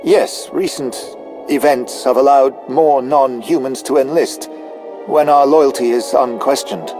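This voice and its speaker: A man's voice: medium pitch, fairly even intonation, a little slow, a little mellow, faint music behind, lightly acted. Formal, eager alien military officer played by a man. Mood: formal, deferential. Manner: formal, deferential, precise. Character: non-human military officer, anxious, deferential, eager to prove loyalty. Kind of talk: scripted video game dialogue.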